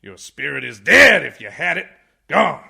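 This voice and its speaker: A raspy, ranting old man in a radio comedy, creaky and piercingly sharp. His delivery swings wildly from muttering to shouted outrage, tired yet indignant. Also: fairly high pitch, very animated, moderate pace, exaggerated voice. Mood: blustering outrage. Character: blind, tired old man, blustering indignant orator defending a boy. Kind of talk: radio comedy play parodying a film speech.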